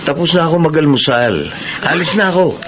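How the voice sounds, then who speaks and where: An actor playing an evasive, secretly smitten husband with a Filipino accent in a radio drama. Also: male voice, on the high side, very animated, fairly quick. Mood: evasive, reassuring. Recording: clear background ambience noise.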